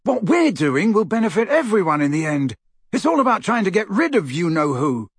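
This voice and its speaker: A blunt, matey teenage boy sidekick, done by a male audiobook narrator. He is plain-spoken and pragmatic, raised in pitch with a husky grain. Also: very animated, a little fast, no room sound, clean studio sound, full character voice. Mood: reassuring. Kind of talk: audiobook character voice.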